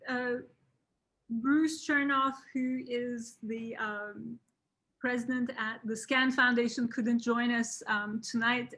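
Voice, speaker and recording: Slow-talking woman from Turkey hosting. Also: on the high side, bright, forceful tone. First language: Turkish. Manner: unhurried, many fillers and restarts. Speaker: host. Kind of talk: online salon talk.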